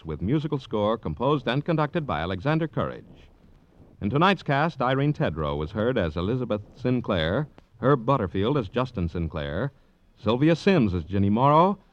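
Animated vintage male radio announcer, dull recording. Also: mid-range voice. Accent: American. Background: music.

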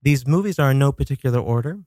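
A man's voice: mid-range voice, animated, quick pace, a little mellow, no room sound, studio quality. A man hosting a casual solo podcast, telling stories dramatically with chatty asides and some swearing. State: coming down with a cold. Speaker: podcast host. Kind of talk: casual solo talk show about horror films.

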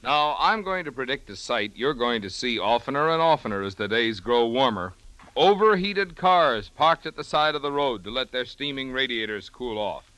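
Vintage radio pitchman: man, medium pitch, very lively intonation, selling from a script. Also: moderate pace, no echo, low-quality recording. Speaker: commercial announcer. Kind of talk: scripted old-time radio advertisement.

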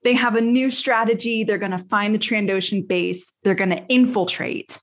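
A woman's voice: medium pitch, normal speed. Female podcast host, mid-range voice, chatty and playful, retelling episodes in slangy conversational storytelling. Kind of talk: lively episode recap in casual chat.

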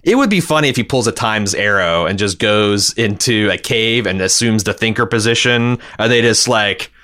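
A man's voice: on the low side, expressive, moderate pace, pushed and bright. A man hosting a podcast, casually recapping television and reading out listener feedback in an opinionated, exasperated way with some swearing. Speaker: podcast host.

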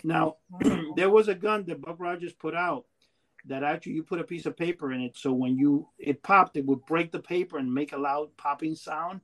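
Man, middle-aged, from Cuba, slightly high pitch, excitable, repetitive, casual conversation. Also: normal speed, poor audio. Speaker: toy and memorabilia collector. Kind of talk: casual chat.

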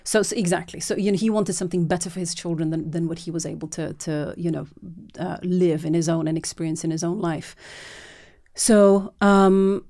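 Woman, 40s, Norwegian-raised filmmaker; low, warm, earnest, halting with restarts. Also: fairly even intonation. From Norway. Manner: earnest, with many repeated words and restarts. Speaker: documentary filmmaker. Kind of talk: long reflective interview answers.

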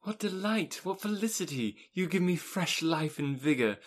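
A man voicing a witty, spirited young woman who talks with brisk irony and bursts into rapture in a storybook reading. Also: medium pitch, expressive, fairly bright tone, close to an everyday voice. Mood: rapturous. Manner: brisk and ironic, turning rapturous.

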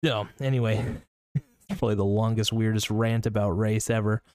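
A man's voice: fairly low pitch, fairly even intonation, moderate pace, a little mellow, no room sound. A young man hosting a casual solo podcast, rambling through his thoughts with lots of fillers and restarts. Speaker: podcast host.

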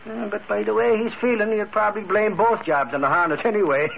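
An actor playing a folksy, blustery comic reporter with an Irish accent in an old radio drama. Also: male voice, sits high, steady tone, brisk, vocal fry, a crowd in the background. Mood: chatty. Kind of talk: 1940s radio acting. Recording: muffled vintage radio recording, faint noise.

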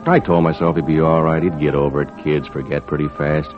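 An actor playing a plain-spoken, kindly fishing skipper who also narrates, in old-time radio drama style. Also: male voice, extremely low pitch, level tone, fairly quick. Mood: rueful. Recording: muffled old radio recording with music.

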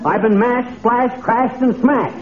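An actor playing an excitable, blustering, folksy middle-aged man given to colorful grumbling, in old radio comedy style. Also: very high-pitched, takes it slowly, crowd noise. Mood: indignant grumbling. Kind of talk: 1940s-50s radio comedy acting. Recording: tinny, noisy old radio recording.

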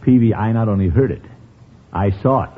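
An actor playing a blustery, easily exasperated middle-aged uncle, pompous and indignant, in 1950s radio comedy style. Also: male voice, on the low side, brisk, natural voice. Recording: noisy old radio broadcast, muffled band.

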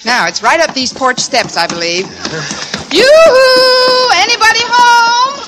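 An actress playing a wry, quick-witted single woman who delivers dry, sardonic wisecracks in a classic radio sitcom. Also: very high voice, very animated, exaggerated voice. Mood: cheerful. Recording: noisy old radio broadcast with crowd.

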